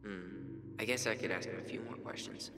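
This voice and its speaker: A man acting a low-voiced, guarded young man. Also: fairly quick, loud music behind the voice, natural voice. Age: young adult. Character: guarded, sardonic young man, bitter about his past. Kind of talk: naturalistic video game acting.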